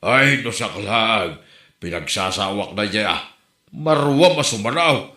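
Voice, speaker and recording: A man's voice: lowish voice, expressive, measured pace, bright, forceful tone. A man with an Ilocano accent playing a stern, blunt, scolding uncle in a comedy drama. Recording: roomy, echoing room sound.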